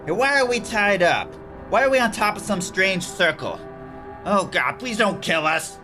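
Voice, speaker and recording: A man's voice: sits high, expressive, moderate pace, bright, forceful tone, faint music behind. A man playing a cocky small-time thug who panics easily, in a horror comedy audio drama. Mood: bewildered, alarmed.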